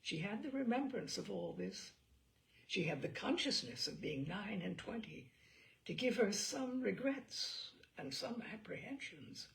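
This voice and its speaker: A woman narrating a literary novel in an expressive, measured storytelling manner with deliberate pauses. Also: medium pitch, creaky, low-quality recording. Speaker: audiobook narrator.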